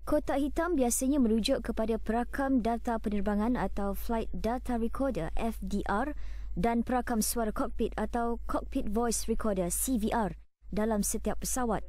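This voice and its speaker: A woman's voice: on the high side, moderate pace, dark tone, no room sound. A woman with a Malaysian accent reading scripted news narration.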